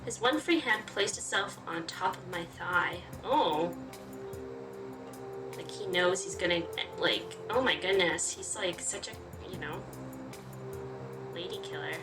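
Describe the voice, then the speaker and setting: A woman's voice: on the low side, expressive, a little slow. A chatty, reactive woman reading a game's story aloud and commenting as she plays. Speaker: game player reading aloud. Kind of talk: let's play commentary with expressive reading of story text. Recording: roomy, distant, music behind. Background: game music.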